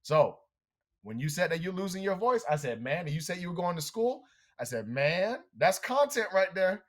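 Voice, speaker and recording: A man with a slightly high voice gives an upbeat motivational talk as a podcast guest. He tells stories with lots of energy and speaks straight to his listeners. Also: normal speed. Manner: animated, energetic, addresses listeners directly.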